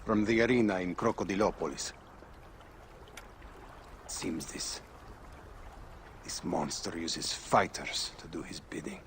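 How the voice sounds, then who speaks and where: An actor playing a grave, loyal ally in a video game, solemn and quietly resolute. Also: male voice, sits low, expressive, measured pace, vocal fry, pauses often, noisy background, heavily acted. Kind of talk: video game character acting.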